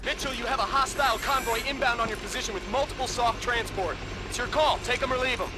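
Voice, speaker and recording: An actor playing a stern, urgent military commander giving clipped orders in a video game. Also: male voice, very high-pitched, fast, noisy background. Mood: brisk, commanding. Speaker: mission commander. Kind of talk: video game radio briefing.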